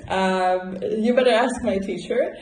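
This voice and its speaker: A woman vlogger with a Russian accent talks in a casual, expressive way, thinking aloud as she goes. Her voice sits at a medium pitch. The recording has noticeable room echo around her words. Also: a little slow. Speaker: vlogger answering viewers' questions. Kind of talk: casual, chatty vlog talk. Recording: roomy indoor echo.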